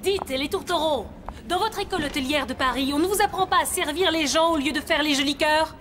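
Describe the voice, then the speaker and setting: A woman voicing a bossy, scolding household overseer in a French animated series. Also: high voice, brisk. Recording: clear background noise.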